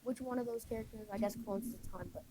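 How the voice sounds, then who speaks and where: A female caller leaving a casual voicemail, halting and repeating herself. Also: fairly high pitch, noisy background.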